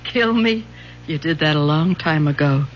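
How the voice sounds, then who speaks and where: Bitter wife in muffled radio drama. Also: female voice, sits low, animated, moderate pace. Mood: bitter, defiant. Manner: emotional, reproachful. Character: bitter, wounded, defiant wife and protective mother. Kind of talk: old-time radio drama acting. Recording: dull old radio recording, faint hum.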